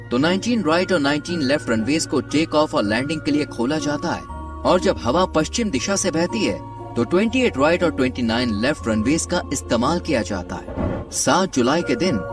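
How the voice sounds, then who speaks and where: A man narrates a scripted documentary with drama. His lively, fairly high voice rises and falls a lot. It sounds slightly distant, with music clearly behind it.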